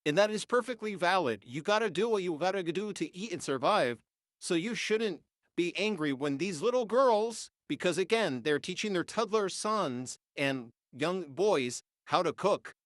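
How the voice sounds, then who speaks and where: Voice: mid-range voice, lively intonation, normal speed, no echo, studio quality. Nineteen-year-old ranter, stuffy-nosed, AI-filtered voice, heated and cursing. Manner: heated, ranting, swears. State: congested and sniffling. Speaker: vlogger. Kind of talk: unscripted rant.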